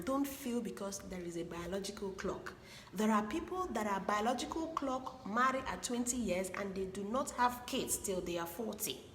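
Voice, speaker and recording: A woman from Igbo land gives earnest, preachy advice on marriage and repeats her points for stress. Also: medium pitch. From Igbo land, Nigeria. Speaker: relationship advice speaker. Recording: echoey room with long reverb and faint background hiss.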